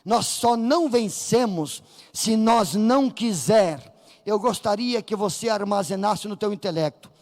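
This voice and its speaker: A man with a Brazilian Portuguese accent preaching a Pentecostal sermon in church, fervent and rising to shouts as he acts out voices from the story. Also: sits high, measured pace. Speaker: pastor. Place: church sanctuary. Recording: reverberant church hall.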